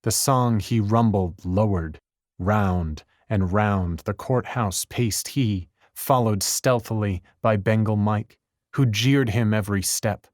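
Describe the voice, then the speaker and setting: Male storyteller for poetry, slightly deep, slow, close and dry. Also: a little mellow, dry recording. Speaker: poetry reader in a group recording. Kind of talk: dramatic narrative verse reading.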